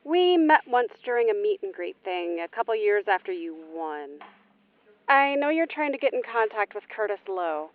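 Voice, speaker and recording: A woman's voice: medium pitch, big pitch swings, normal speed, natural voice. A woman playing a helpful, slightly awkward fan leaving a casual, hesitant voicemail over a tinny phone line in an audio drama.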